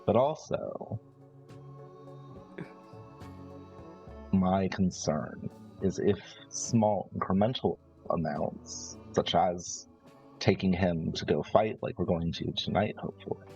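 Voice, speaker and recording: A man improvising a calculating brother from a ruthless 1950s family in a tabletop roleplay, speaking in measured, halting phrases. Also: low-pitched, gravelly, a little mellow. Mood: grave, reasoning. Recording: slightly dull audio with music. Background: background music.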